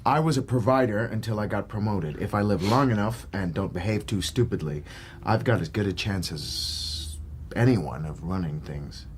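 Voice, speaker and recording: An actor playing a cool, world-weary government agent in a radio drama, measured, dry and probing. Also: male voice, fairly low pitch, a little hiss or hum, close to an everyday voice. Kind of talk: naturalistic radio drama acting.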